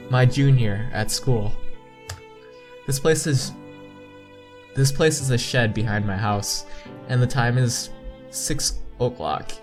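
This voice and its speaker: A man doing a game playthrough, reading visual novel text aloud haltingly and adding his own asides. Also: mid-range voice. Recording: game music behind the voice. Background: game music.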